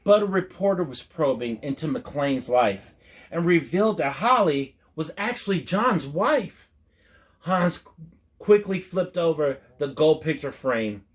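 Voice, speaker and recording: A man's voice: a little high, animated, unhurried. A man reading a rhyming story aloud as a storyteller, expressively, with folksy, playful asides to his listeners.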